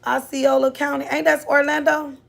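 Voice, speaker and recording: A high-pitched woman from Florida with a Southern drawl hosts a livestream. Her voice has a gravelly, fry-laden edge. She talks in a loose, chatty way, drifting from topic to topic. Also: little pitch movement, normal speed. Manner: chatty, drawling, scolds her kids off-mic. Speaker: livestream host. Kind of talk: casual live chat.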